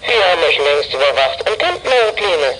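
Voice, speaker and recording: A man's voice: sits high, big pitch swings, a little fast, pushed and bright, slight background noise, heavily acted, poor audio. A young male actor playing a brash, agitated teenage boy on a walkie-talkie in a 1980s radio play. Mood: gloating, threatening. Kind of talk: 1980s German youth radio play acting.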